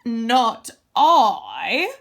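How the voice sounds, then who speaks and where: A woman voicing a gossipy, lazy goose in a storybook, refusing loudly and curtly. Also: on the high side, huge pitch swings, extremely slow, vocal fry, voice pushed up, full character voice. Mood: dismissive.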